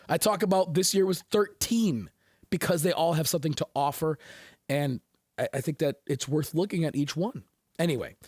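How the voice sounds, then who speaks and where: A slightly hoarse man hosting a show, talking candidly in a rambling casual monologue full of fillers and restarts. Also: on the high side, dry recording, clean studio sound. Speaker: host.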